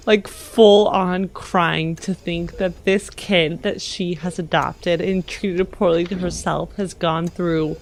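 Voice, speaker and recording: Slow, earnest woman roleplaying. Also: a little high, low-quality recording. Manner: halting, earnest. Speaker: player in a tabletop roleplaying game. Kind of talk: improvised in-character roleplay with narration.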